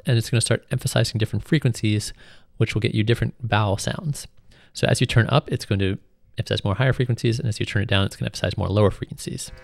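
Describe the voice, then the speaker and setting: A man giving an informal, step-by-step tutorial as a music software instructor. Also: medium pitch, vocal fry, no room sound, studio quality. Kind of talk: tutorial narration, explanatory.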